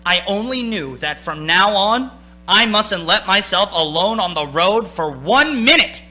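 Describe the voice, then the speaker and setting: A man's voice: high voice, expressive, a little slow, sharp tone, a little hiss or hum, heavily acted. An actor playing a haunted, anxious young male driver in a radio play, speaking in a stammering, urgent, theatrical way. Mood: haunted, brooding. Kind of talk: theatrical radio play acting with first-person narration. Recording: stage radio play with music.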